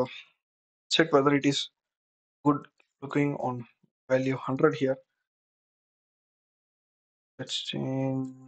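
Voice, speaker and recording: A software tutor giving an unscripted screen-recorded tutorial, explaining step by step while thinking aloud. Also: mid-range voice, low-quality recording.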